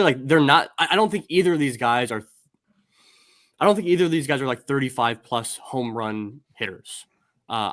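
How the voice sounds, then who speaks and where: A man's voice: mid-range voice, fairly bright tone, no echo. A man in his thirties hosting a casual baseball podcast over a video call, talking in rambling bursts with lots of restarts. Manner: rambling, many restarts and fillers. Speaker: podcast host. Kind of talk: casual baseball prospect discussion.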